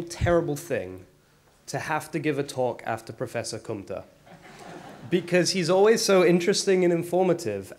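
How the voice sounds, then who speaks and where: A man with a gravelly voice, hoarse from a cold, giving an academic lecture in a wry, measured way. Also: mid-range voice, darkish tone. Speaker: guest lecturer.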